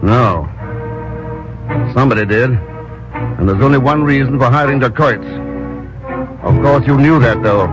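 An actor playing a calm, wry secret agent with a dry, understated delivery, in a 1950s radio drama. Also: male voice, mid-range voice, measured pace, long pauses, over music, natural voice. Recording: dull lo-fi old radio recording.

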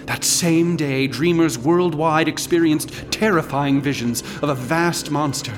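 An actor playing an intent investigator, narrating deliberately in a radio drama. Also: male voice, a little high, natural voice. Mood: intent, brooding. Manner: deliberate, listing dates. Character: intent investigator piecing together strange events. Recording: music playing behind the voice.

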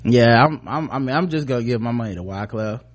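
An American man co-hosting a podcast, casually talking through the news and reading bits of articles in a halting, informal way. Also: medium pitch, lively intonation, lo-fi sound, slight background noise. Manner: informal, halting, often trailing off mid-thought. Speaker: podcast co-host.

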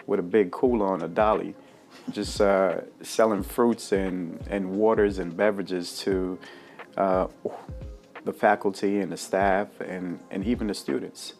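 Low-voiced man, hesitant and relaxed. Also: a little slow, faint music behind. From Bermuda and Jamaica. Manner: relaxed, hesitant, many fillers and restarts. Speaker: guest, cafe founder. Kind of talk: casual interview chat.

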